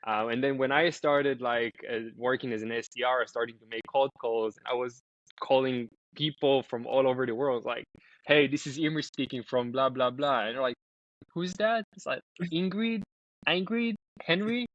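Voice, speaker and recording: Brief: young founder from Israel, chatty and loose, dry dull-sounding remote audio. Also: male voice, mid-range voice, normal speed, a bit bright, no echo. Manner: loose and chatty, many ums and restarts. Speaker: guest, startup founder. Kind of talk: casual podcast interview.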